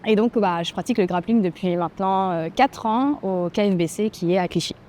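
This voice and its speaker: A woman in her twenties from French Guiana, a grappling athlete answering interview questions in a thoughtful, hesitant way with frequent pauses and fillers. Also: medium pitch, darkish tone, a little hiss or hum. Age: 20s. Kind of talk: documentary interview.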